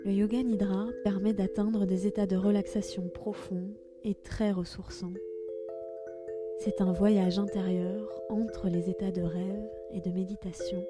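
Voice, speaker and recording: Female meditation guide, calm and gentle, mid-pitched, spaced phrasing, music underneath. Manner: calm, gentle, slow instructions with pauses. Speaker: podcast host and yoga nidra guide.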